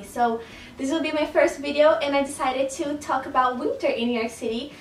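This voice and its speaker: A woman's voice: high-pitched, slight background noise. A young woman from Brazil with a Brazilian Portuguese accent, chatting to camera in a casual vlog and laughing often. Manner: chatty, laughs often, stresses words by repeating them. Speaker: vlogger. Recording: roomy, slightly distant camera audio.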